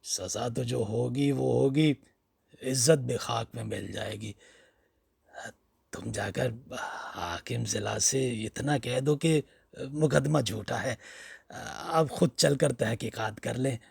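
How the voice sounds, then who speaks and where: Man acting a husky, pleading old village man. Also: mid-range voice, slow, darkish tone, no room sound, exaggerated voice. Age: older. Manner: coaxing, familiar, emphatic. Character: pleading, flattering, hearty old village man, boastful eater.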